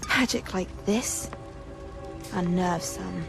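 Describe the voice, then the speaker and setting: An actress playing a resolute, grieving witch scholar, calm and persuasive, in a video game cutscene. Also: lowish voice, slow, gravelly, over music. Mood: guarded, persuasive.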